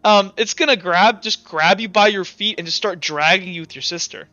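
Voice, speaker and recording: Guy running a tabletop rpg, forceful bright voice, stops and starts, kinda muffled recording w music under it. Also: high-pitched, moderate pace, no room sound. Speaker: game master. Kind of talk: improvised tabletop game narration. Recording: dull lo-fi audio with background music.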